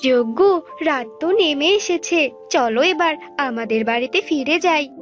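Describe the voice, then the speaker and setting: A woman's voice: high-pitched, expressive, measured pace. A woman voicing a vain, greedy, conniving female cartoon bird, coaxing and self-satisfied. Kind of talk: Bengali cartoon bird-fable acting. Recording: dull lo-fi audio with music.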